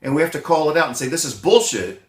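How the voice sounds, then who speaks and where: A man's voice: on the high side, animated, fast, fairly bright tone. Blunt wheezy man in an echoey room. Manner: blunt, rambling with fillers, swears freely. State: wheezy from recent bronchitis. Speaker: chiropractic doctor, channel host. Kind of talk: casual monologue to camera.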